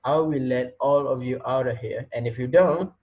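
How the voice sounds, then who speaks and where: A man's voice: mid-range voice, moderate pace, phone-quality sound, natural voice. Give me a man acting a theatrical, sinister teacher. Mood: taunting. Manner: theatrical, taunting. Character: sinister male teacher taunting captive students, mockingly playful. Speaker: actor in a student reader's theater. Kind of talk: amateur dramatic reading.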